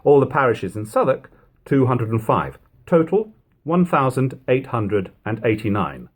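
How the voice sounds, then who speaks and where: A man reading an old literary text aloud as narration, in measured, emphatic phrasing. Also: mid-range voice, big pitch swings. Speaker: reader of a classic book. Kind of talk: audiobook-style literary narration.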